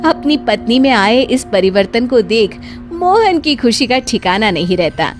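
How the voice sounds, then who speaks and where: A woman's voice: fairly high pitch, expressive, a little slow, over music. A woman narrating a scripted moral story for children.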